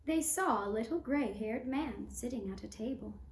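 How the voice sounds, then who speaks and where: A woman storyteller narrating a fairy tale for a puppet show in a measured, deliberate way. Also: on the high side, expressive. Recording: roomy, echoing sound.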